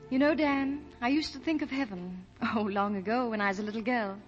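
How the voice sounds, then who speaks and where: A woman's voice: on the high side, a little fast, close to an everyday voice. An actress playing a tender young woman in love in a dreamy, romantic 1940s radio drama style. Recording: muffled old 1940 radio recording, music behind.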